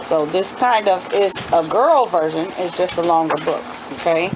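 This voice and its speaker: A woman's voice: on the low side, lively intonation, normal speed, noisy background. A middle-aged woman, a homeschooling mother, reading a children's book aloud and talking it over with her young children. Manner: asks children questions, explains asides.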